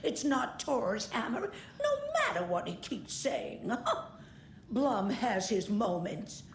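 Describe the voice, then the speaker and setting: A woman playing a prickly, chatty old care-home resident who knits, with a halting, scolding way of talking. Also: fairly high pitch, sing-song, roomy sound, slight background noise, exaggerated voice. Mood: scolding, amused. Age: older.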